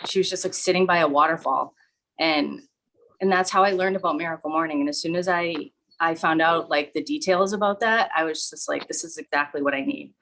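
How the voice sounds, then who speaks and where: A woman giving a casual, rambling talk, speaking in a flat monotone and using lots of fillers. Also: low voice, a little fast, slight background noise, rough recording. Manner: self-described monotone, relaxed and rambling with many fillers. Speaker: guest speaker. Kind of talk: casual talk on a live call.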